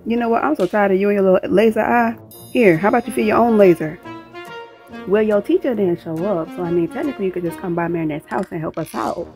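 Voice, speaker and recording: A woman's voice: mid-range voice, quick pace, darkish tone, soft background music. A woman doing a comedic cartoon voice-over, acting out dialogue with sassy, colloquial, exaggerated delivery. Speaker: amateur voice-over performer dubbing a cartoon.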